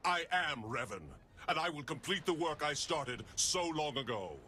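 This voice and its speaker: A man's voice: on the high side, animated, normal speed, pushed and bright, faint music behind. An actor playing an obsessed, proud male leader in a video game, speaking in a commanding, scornful manner.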